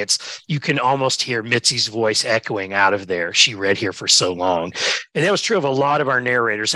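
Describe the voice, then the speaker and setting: Bright-voiced man telling stories eagerly. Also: medium pitch, no echo. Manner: enthusiastic storyteller, uneven pace, many "you know" fillers. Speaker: museum director. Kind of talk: podcast conversation.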